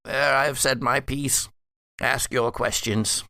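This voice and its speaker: A man playing the bitter, eloquent ghost of a family ancestor in a gothic roleplay game, speaking in formal, halting, deliberate phrases. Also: mid-range voice, steady tone, pushed and bright, no echo, studio quality. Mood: grave, confessing. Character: ghostly male ancestor, eloquent, bitter, confessing remnant of himself. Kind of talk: actual-play gothic horror roleplay.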